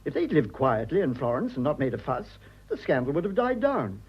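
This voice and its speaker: Prim English gentleman, upper-class accent, highish husky voice, animated, fussy, muffled radio drama recording. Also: fairly quick. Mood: indignant, rueful. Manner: prim, fussy, flustered, earnest. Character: stiff, fussy upper-class English son, anxiously proper. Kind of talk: stage comedy of manners radio acting.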